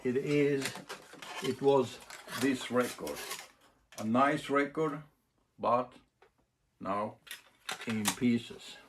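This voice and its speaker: Guy slowly talking thru a hands-on demo, halting, thinking out loud, noisy background with clicks. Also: medium pitch. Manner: halting, thinking aloud in short phrases. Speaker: record collector presenting a demonstration. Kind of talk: casual unscripted demonstration. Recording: clear background noise, clicks.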